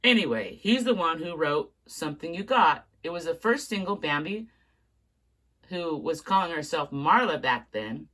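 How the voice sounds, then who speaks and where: A woman's voice: low voice, expressive, normal speed, a bit bright, low-quality recording. A woman reading aloud, voicing a polite, easygoing male songwriter telling his own story.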